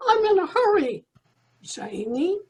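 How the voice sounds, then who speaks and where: A woman's voice: extremely high pitch, sing-song, a little slow, darkish tone, heavily acted. A woman playing an impatient, bickering older landlady in an old-time radio comedy. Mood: impatient, chatty.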